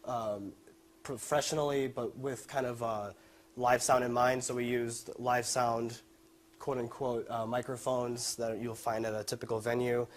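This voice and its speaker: A young man giving an informal student presentation of a project, speaking a little hesitantly with frequent pauses. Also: medium pitch, flat delivery, slight background noise. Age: young adult.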